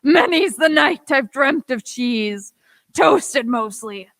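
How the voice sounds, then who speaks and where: A woman playing a half-mad, excitable marooned old sailor man with rustic pirate speech in a radio play. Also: sits high, takes it slowly, no room sound, full character voice. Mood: excited, pleading. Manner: rustic pirate speech, exclaiming, repeating himself.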